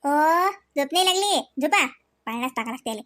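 A woman plays a homely village woman from a family cartoon, gossipy and colloquial in a rural Varhadi Marathi accent. The voice is very high and the talk runs fast, in a dry, close sound. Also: expressive, fairly bright tone, no room sound. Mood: homely, concerned. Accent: Varhadi Marathi, rural Vidarbha. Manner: gossipy, colloquial, drawn-out kinship exclamations.

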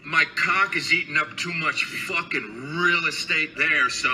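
Thirties guy roasting his buddy on a phone clip, bright sharp voice, a bit high. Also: a little hiss or hum. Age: 30s. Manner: teasing, cocky, drawn-out deadpan delivery. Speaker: comedian sending video message. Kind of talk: joking video message. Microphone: phone video played on another phone.

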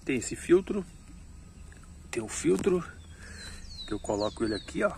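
Slow, chatty man vlogging by the roadside. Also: a little high, very animated, vocal fry, darkish tone, slight background noise. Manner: slow, repetitive, chatty, addressing viewers as "pessoal". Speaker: motorcycle travel vlogger. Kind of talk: casual show-and-tell vlog. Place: outdoors by a roadside. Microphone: action camera on the ground.

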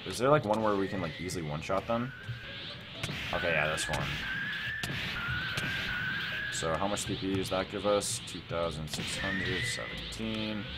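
A man's voice: low-pitched, moderate pace, long pauses. A young man giving casual gameplay commentary, rambling and thinking aloud as he hosts a gaming video. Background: music.

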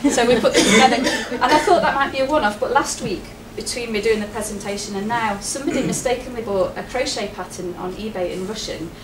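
A woman's voice: on the high side, quick pace, few pauses. A middle-aged woman giving a chatty presentation to a room, laughing often. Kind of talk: public presentation. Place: wood-panelled meeting room with projector. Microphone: far camera, no mic. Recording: echoey room, distant camera, noisy.

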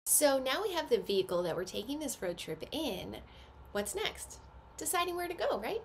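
A woman's voice: sits high, big pitch swings, normal speed. American woman presenting, with noisy room background. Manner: chatty, instructive, addresses viewer directly with questions. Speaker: presenter of subscription box unboxing. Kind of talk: friendly explanatory product presentation to camera. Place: small indoor home office.

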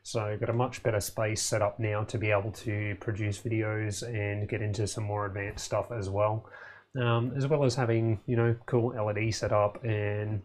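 A man in his thirties with a low voice and very little rise and fall gives a casual video update from his small home electronics lab. He talks in a relaxed, matter-of-fact way, with plenty of "um"s, and sounds slightly hoarse. The sound comes from a camera mic a short way off. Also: moderate pace. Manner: relaxed, matter-of-fact, many "um"s and "you know"s. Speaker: electronics channel host.

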